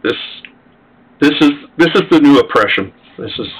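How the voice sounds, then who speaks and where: A man's voice: on the high side, lively intonation, moderate pace, slightly rough, poor audio. A man co-hosting a casual podcast chat, making dry, wry remarks. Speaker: podcast co-host.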